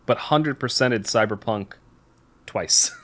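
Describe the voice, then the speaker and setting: A man's voice: medium pitch, takes it slowly, a bit bright. A man co-hosting a podcast in casual chat, blunt and opinionated in how he talks. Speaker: podcast co-host.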